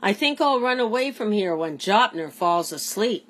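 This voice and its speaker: A woman's voice: medium pitch, expressive, normal speed, a bit bright, a little hiss or hum, lightly acted. A woman voicing a bored, defiant young boy in a children's storybook. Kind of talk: children's storybook read-aloud.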